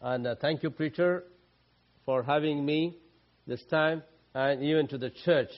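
A man from India with a Telugu-speaking Indian accent, preaching as a guest speaker in a church, with halting, repetitive phrasing and emphatic stresses. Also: a little high. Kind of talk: sermon. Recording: dull lo-fi recording.

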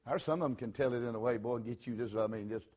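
A man, preaching in a folksy storytelling way, repeating phrases and swinging between loud and soft. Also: mid-range voice, fast talker. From Tennessee, USA. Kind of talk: sermon storytelling. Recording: dull lo-fi recording.

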